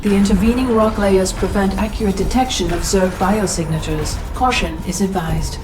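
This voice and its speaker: An actress voicing a cool, emotionless ship's computer in a video game, giving clipped, formal briefings. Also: female voice, mid-range voice, moderate pace, far from the mic, background noise, natural voice. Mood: detached.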